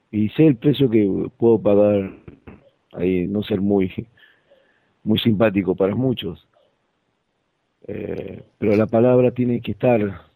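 Low, gravelly man on phone-like audio. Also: no echo. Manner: hesitant, halting. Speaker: participant in a religious discussion. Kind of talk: reflective religious talk. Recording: thin phone-like sound.

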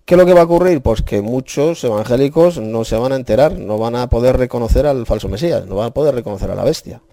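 Gravelly emphatic Spanish preacher man. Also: mid-range voice, quick pace, no room sound. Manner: emphatic, warns forcefully, asks rhetorical questions. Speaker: Bible teacher leading an online chat room. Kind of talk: preaching in an online Bible chat.

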